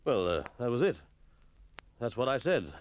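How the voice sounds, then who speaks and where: Man playing a blustering schoolmaster with lively pitch. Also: normal speed, close to an everyday voice. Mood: uneasy. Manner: stern, spluttering, commanding. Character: grumpy, blustering middle-aged male schoolmaster.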